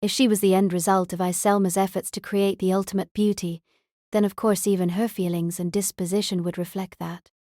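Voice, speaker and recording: A woman's voice: mid-range voice, little pitch movement, brisk, mellow, no echo, clean studio sound. A woman reading scripted third-person novel narration as an audiobook narrator.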